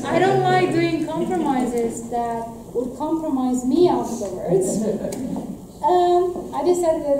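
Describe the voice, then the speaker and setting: A woman's voice: sits high, background noise. A young woman from Romania answering audience questions from a lecture podium, earnest and full of restarts and fillers. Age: young adult. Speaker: graduate student presenter. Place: lecture room at a podium, indoors. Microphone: room mic, heard from a distance. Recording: echoey lecture room from far off.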